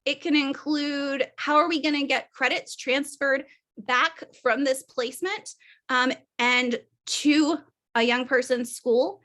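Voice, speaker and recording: Woman, high voice, measured advocacy, panel speaker at a webinar. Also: fairly even intonation, pushed and bright. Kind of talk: webinar presentation.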